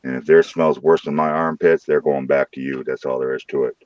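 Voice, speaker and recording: A man with a St. Louis accent hosting a late-night live stream from his room, joking loudly and swearing freely. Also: a bass voice, steady tone, a little fast, dry recording, muffled recording. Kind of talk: casual live stream chat.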